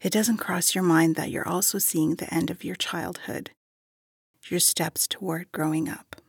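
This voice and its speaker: A woman's voice: lowish voice, steady tone, measured pace, no room sound, studio quality. A woman acting in an audio drama as a tender, nostalgic young woman speaking intimately of childhood friendship. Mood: tender, reflective. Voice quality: husky, breathy, creaky.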